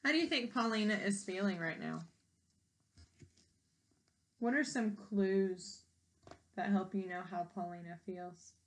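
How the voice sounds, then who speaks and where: A woman reading a children's story aloud expressively, pausing in a teacherly way to ask young listeners simple questions. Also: mid-range voice. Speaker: storybook read-aloud reader.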